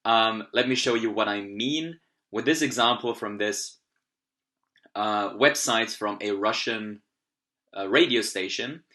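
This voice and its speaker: A young Austrian man with a native German accent walks through a software tutorial at his computer. His bright, forward voice stays upbeat and explanatory, with a few fillers as he clicks through each step. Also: lowish voice, measured pace. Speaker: language learning tutorial host. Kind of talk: screen-recorded software tutorial.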